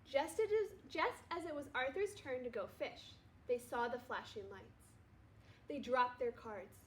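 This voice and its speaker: High-voiced woman reading a children's story. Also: unhurried, slight background noise. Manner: expressive, acts out dialogue. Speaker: second-grade teacher. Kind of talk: children's storybook read-aloud.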